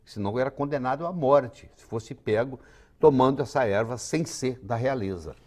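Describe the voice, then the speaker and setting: A man's voice: medium pitch, normal speed, darkish tone. A man in his sixties with a Brazilian accent, a physician giving confident, lecturing explanations as an interview guest. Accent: Brazilian Portuguese. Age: 60s.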